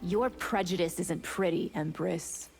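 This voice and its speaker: A woman's voice: fairly low pitch, a little mellow, low-quality recording. A bloodthirsty, proud vampire for a video game. She taunts unhurriedly with cool scorn, over faint music. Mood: menacing, scornful. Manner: taunting, measured. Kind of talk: video game character dialogue.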